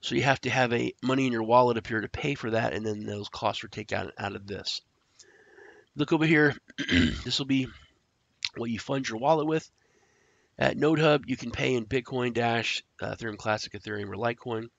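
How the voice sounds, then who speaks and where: A man who is sick and stuffed up, casually walking through a screen-share tutorial, rambling with fillers and clearing his throat. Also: medium pitch, fairly quick, fairly bright tone, dry recording. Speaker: crypto tutorial host. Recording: dry, dull lo-fi audio.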